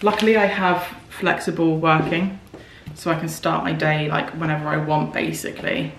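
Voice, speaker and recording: A young woman from the UK rambles through her day for a vlog, her speech full of "um" and "like". Her voice is a little croaky today. A faint hum sits under the recording, first from a parked car and later from a home hallway. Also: low voice, level tone, moderate pace. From United Kingdom. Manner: chatty, rambling, frequent fillers. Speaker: vlogger. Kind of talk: casual vlog chat to camera. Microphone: camera on dashboard, then handheld camera.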